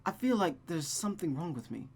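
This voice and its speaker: A woman's voice: on the low side, big pitch swings, brisk, a little mellow, faint music behind, pitched above the performer's own voice. A woman voicing an anxious young woman in a visual novel, earnest and hesitant as she confides. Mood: insecure, distressed. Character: Catherine, anxious young woman confiding about her fiancé.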